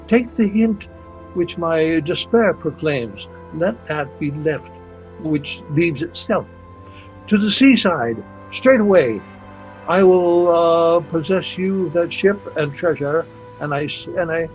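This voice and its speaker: An amateur actor reading a shamed, despairing older general in a Shakespeare play, halting and stumbling over his words. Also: a little high. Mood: resigned, despairing. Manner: halting, stumbling reader with exclamations. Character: despairing, shamed older male general, grey-flecked and self-reproaching. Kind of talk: amateur Shakespeare read-aloud. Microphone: video call. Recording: dull lo-fi video call, faint music.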